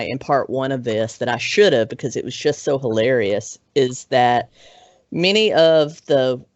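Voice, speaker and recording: A woman in her forties hosting a podcast in casual chat, chatty and quick to laugh. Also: rumbling low, no echo. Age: 40s. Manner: chatty, laughs often, trails off. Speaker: podcast host. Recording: dry, dull lo-fi audio.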